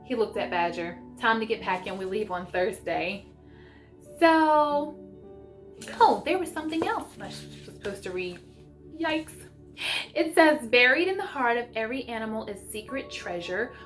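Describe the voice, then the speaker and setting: A woman's voice: on the high side, lively intonation, moderate pace. A woman vlogging as a homeschool mom, talking casually and reading aloud in an enthusiastic, chatty way. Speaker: homeschool mom sharing book picks. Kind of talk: casual vlog chat with read-aloud passages. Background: music.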